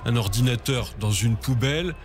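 A male voice of medium pitch giving a spoken report. He explains in short, halting phrases.

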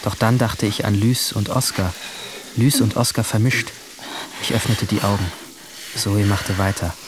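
A man's voice: lowish voice, fairly even intonation, a little slow, very noisy, lightly acted. A man playing a troubled, brooding seventeen-year-old boy who narrates his own story introspectively in a radio drama. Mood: anguished, tense. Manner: introspective, literary. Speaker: narrator and lead character.

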